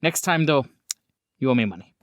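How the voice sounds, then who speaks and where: A man's voice: medium pitch, lively intonation, fairly quick, no echo, clean studio sound, natural voice. A man voicing an obliging, slightly hesitant doctor in a tabletop roleplaying game. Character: obliging male doctor doing a discreet favour.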